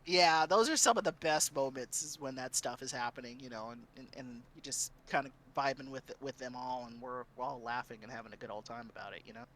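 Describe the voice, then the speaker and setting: A man chatting casually as a streamer on a panel, acting out reactions in an animated way. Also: medium pitch, fairly quick, hardly any pauses, rough recording.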